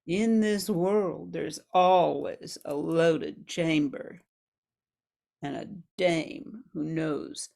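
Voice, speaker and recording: A woman's voice: low voice, fairly even intonation, extremely slow, vocal fry, warm tone, heavily acted. A woman playing a sultry, tough 1940s gun moll in a hard-boiled radio noir monologue. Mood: cold, threatening. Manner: sultry, hard-boiled underworld slang, calling listener sweetheart.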